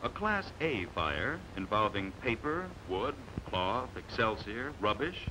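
An American man narrating a scripted 1950s training film in a measured, authoritative, emphatic manner, on a vintage soundtrack. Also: on the low side, very animated, background noise.

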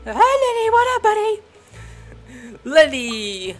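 A woman's voice: high voice, wildly swooping pitch, slow pace. A woman streamer giving casual gameplay commentary, playful and slangy with frequent exclamations. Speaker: gaming streamer. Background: faint game music.

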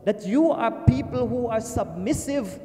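An older man giving a Friday sermon in a mosque, earnest and emphatic, repeating phrases and slowing down to stress them, speaking into a stand mic. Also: extremely high pitch, animated, warm tone. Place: mosque prayer hall. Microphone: stand mic at a lectern. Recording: echoey mosque hall.

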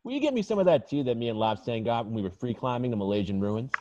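A man's voice: mid-range voice, animated, quick pace, warm tone, slight background noise, lightly acted. A man playing a laid-back, nosy, dim young male model with slangy speech in a comedy table read. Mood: laid-back, probing. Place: at home on a video call. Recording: muffled video call audio.

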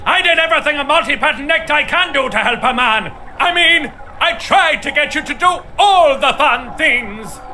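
An actor voicing a talking necktie, a manic boozy best friend with tender melancholy, in a strained video game voice. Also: male voice, very high-pitched, lively intonation, normal speed, hardly any pauses, pushed and bright, a little hiss or hum, full character voice. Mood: excited, urging. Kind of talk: scripted video game character acting.

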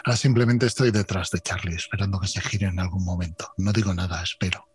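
A man player describing his character's actions in a tabletop game, quiet and deliberate. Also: low voice, fairly even intonation, breathy edge. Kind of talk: in-character roleplay.

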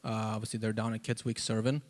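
A man gives an informal sermon and testimony into a handheld mic, halting and conversational, with lots of "like" and "you know.". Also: on the low side, flat delivery. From South Africa. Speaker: preacher. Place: church auditorium, on stage before seated congregation. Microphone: handheld microphone.

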